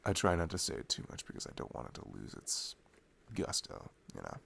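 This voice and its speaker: A man playing an affectionate, reflective boyfriend in an intimate ASMR roleplay, speaking softly and tenderly with hesitant pauses. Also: low voice, sing-song, creaky. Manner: soft, hesitant, tender, pausing between phrases.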